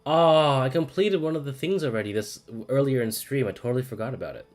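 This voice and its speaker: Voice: medium pitch, fast talker, darkish tone. A game streamer giving casual live commentary, swearing freely and playfully, shifting between muttering and exclaiming. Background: game music.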